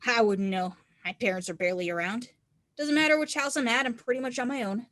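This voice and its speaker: A woman's voice: a little high, fast, fairly bright tone, no echo, studio quality, natural voice. A woman reading an encouraging, self-reliant non-binary teenage snowboarder at a screenplay table read. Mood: matter-of-fact, resigned.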